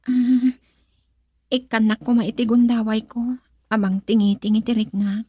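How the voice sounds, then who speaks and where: A female actor with a Filipino accent and a breathy voice, playing a guarded, dutiful young woman in a romantic radio drama. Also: a little high, little pitch movement, measured pace, mellow, dry recording. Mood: guarded, gentle.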